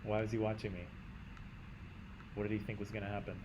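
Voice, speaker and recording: A Canadian man teaching a class in a conversational way, often checking in with "right?" and clearing his throat. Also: lowish voice, level tone, quick pace, highs cut off, noisy background. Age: adult. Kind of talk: classroom lecture.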